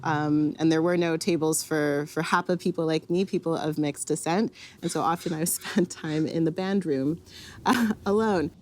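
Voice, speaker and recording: A woman speaking thoughtfully in a documentary interview, pausing with fillers and restarting her sentences. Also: sits low, darkish tone, slight background noise. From Canada, Vancouver. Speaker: interviewee, community organizer.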